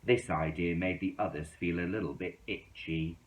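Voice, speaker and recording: A man reading a children's story aloud in an expressive storytelling style. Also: sits low, moderate pace. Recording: roomy, echoing indoor sound.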